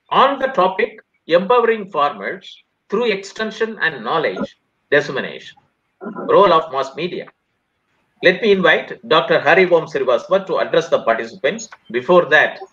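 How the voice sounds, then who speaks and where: A man with an Indian accent speaks as a formal webinar host, delivering a scripted address phrase by phrase. His voice sits fairly high and has a sharp, forceful edge. The audio is somewhat dull and lo-fi.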